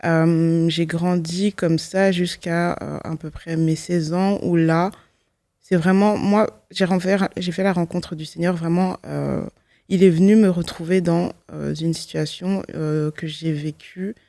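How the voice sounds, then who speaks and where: A woman's voice: fairly low pitch, fairly even intonation, measured pace, mellow, no room sound. A woman, giving a personal testimony in a reflective, hesitant way, often correcting herself. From Belgium. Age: 20s. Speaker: guest, law student giving testimony.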